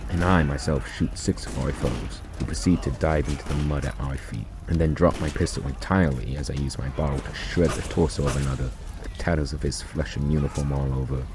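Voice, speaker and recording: A man reading a first-person short story aloud in a deliberate, grave, theatrical way, on a noisy recording. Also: extremely low pitch. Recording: noisy recording with constant background noise.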